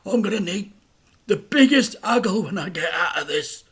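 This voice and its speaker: A man voicing an injured father trapped in a crashed car, speaking softly to his son in a half-whisper. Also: sits high, expressive, normal speed, breathy edge, voice pushed up, heavily acted. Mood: tender.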